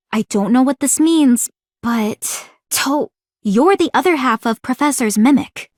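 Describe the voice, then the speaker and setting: Game role: sharp-witted, suspicious young woman strategist. High, animated delivery, formal and commanding. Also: no echo, studio quality. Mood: measured, analytical. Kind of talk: scripted video game character voice acting.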